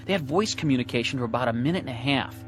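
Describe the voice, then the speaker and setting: An actor playing a puzzled, dutiful junior military aide in a radio drama, reporting in a deferential manner. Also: male voice, mid-range voice, expressive, racing, natural voice. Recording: music bed behind the voice.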